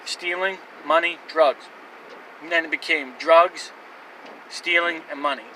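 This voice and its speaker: Candid young man, bright voice, far off. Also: a little high, steady tone, unhurried, a little hiss or hum. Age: 20s. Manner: rambling, candid, with fillers and restarts. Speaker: vlogger. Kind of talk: personal life story to camera.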